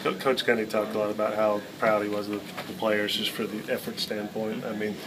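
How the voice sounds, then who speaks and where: Low-voiced man asking postgame questions. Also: flat delivery, a little fast, crowd noise, low-quality recording. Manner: rambling, wordy questions. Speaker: sports reporter. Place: crowded locker-room interview area, indoors. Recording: background noise of a busy room.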